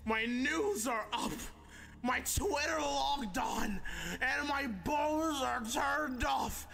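A man voicing an irate, bombastic mad-scientist villain with a creaky voice in a comedic game fandub. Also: very high-pitched, slow pace, a loud crowd, exaggerated voice. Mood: unhinged anger.

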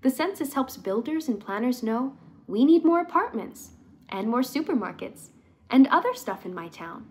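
Woman as a thoughtful, expressive little girl. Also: high voice. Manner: earnest, explanatory childlike storytelling. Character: thoughtful little girl living in crowded apartment.

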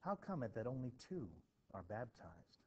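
A man voicing an earnest, formal minister from colonial times, measured and questioning, in a play reading. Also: mid-range voice, very animated, close to an everyday voice. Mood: probing. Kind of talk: expressive classroom play reading. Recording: dull, noisy home recording.

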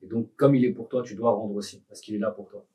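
A man co-hosting a podcast who sums up what his guest says in brisk follow-up questions. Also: lowish voice, fairly even intonation, dark tone. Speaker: podcast co-host. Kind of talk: casual podcast interview. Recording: voice from a distance.

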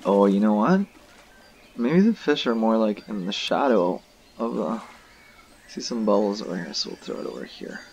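A young man giving relaxed, casual commentary to viewers while he plays a fishing game. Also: fairly low pitch, normal speed, slight background noise. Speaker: gaming video host. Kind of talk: casual let's-play commentary.

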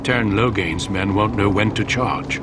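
Actor as a low-voiced grave warrior commander, noisy background. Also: male voice, lightly acted. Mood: solemn. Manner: solemn, measured, authoritative. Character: grave, commanding middle-aged male warrior mentor. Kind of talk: video game dialogue. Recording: loud outdoor game ambience behind.

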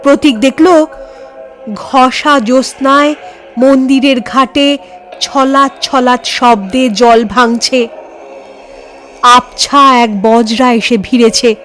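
High, expressive woman storyteller. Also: slow, pauses often, soft background music, low-quality recording. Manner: expressive, suspenseful. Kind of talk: dramatic scripted narration of horror story.

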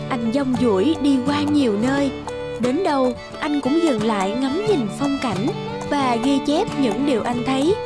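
A woman reading scripted fairy-tale narration as the storyteller of an animated tale. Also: high voice. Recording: prominent music bed behind the voice.

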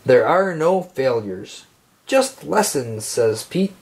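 A man reading a children's picture book aloud, phrasing it deliberately and expressively for young listeners. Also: medium pitch, animated, slight background noise.

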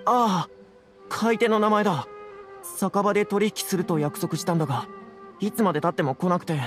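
A man's voice: high voice, brisk, faint music behind, natural voice. A man playing a nervous, flustered scholar in an anime game, with a pleading manner. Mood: anxious, defensive. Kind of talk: Japanese anime game voice acting.

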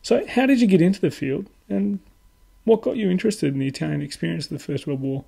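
A man hosting a history podcast, asking questions haltingly with many fillers and dry asides. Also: high-pitched, lively intonation, creaky, dark tone, husky. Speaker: podcast host. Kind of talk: interviewing.

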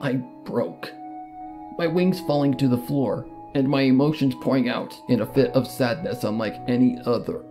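A narrator reading a fan fiction story in the first person, with solemn, dramatic delivery and pauses. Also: mid-range voice. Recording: music under the voice. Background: background music.

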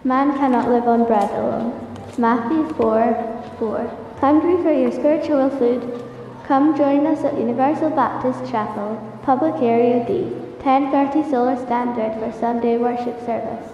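A woman's voice: a little high, a little slow, warm tone. A synthetic woman's voice playing the announcer of a scripted church advertisement over a public address system. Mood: inviting. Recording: distant, dull, very echoey PA, faint noise.

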